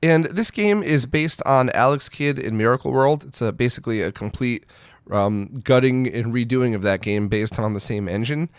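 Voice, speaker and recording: A man's voice: mid-range voice, normal speed, clear, resonant tone, no room sound, studio quality. A man hosting a news roundup in a casual, blunt, opinionated way, speaking informally straight to viewers. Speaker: host of a retro gaming news show.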